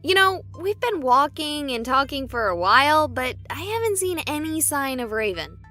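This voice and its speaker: A woman playing a plucky, stubborn young streamer in a visual novel, sarcastic and excitable in her delivery. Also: high voice, moderate pace, heavily acted. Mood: sardonic. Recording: music behind the voice.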